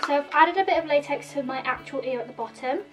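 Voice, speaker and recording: A woman's voice: sits high, fast, distant mic, soft background music. A woman in her thirties with a slightly nasal sore throat, chattily explaining a makeup tutorial step by step to the camera. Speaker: makeup vlogger. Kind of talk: casual tutorial talk to camera. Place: indoor room in front of a red plaid backdrop.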